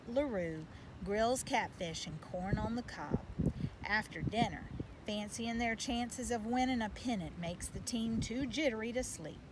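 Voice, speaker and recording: A woman reading a children's storybook aloud as narrator. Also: medium pitch. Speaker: reader of a children's book. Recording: loud background noise.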